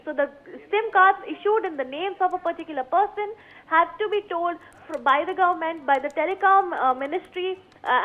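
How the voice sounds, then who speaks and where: A woman with a high voice and an Indian accent reports for the news. She reads out points formally but haltingly, over a narrow, phone-like connection. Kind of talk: live news report, reading out recommendations.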